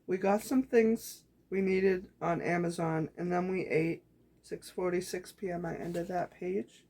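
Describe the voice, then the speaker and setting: Woman vlogger, calm diary-style reading, some vocal fry and rasp. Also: fairly low pitch, measured pace. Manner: calm, gentle reflective reading. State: voice getting raspy. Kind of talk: reading her handwritten journal aloud.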